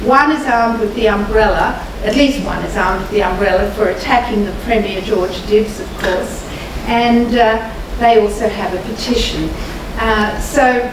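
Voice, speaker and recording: An older Australian woman with a croaky voice gives a formal public lecture in a measured, deliberate way, pausing often to hesitate. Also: mid-range voice. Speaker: guest lecturer. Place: large lecture hall. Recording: echoey hall, mic far off, noisy.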